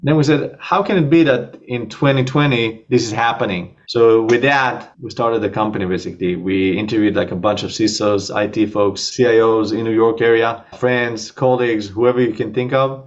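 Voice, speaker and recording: A man's voice: fairly low pitch, steady tone, normal speed, hardly any pauses, muffled recording. A man with an Israeli accent, a startup co-founder, talking casually in an interview over a video call, with frequent fillers.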